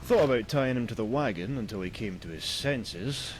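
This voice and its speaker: A man's voice: mid-range voice, animated, moderate pace, a little hiss or hum, lightly acted. A man playing a weary, wry and rambling wagon merchant in a fantasy video game. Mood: chatty, grumbling.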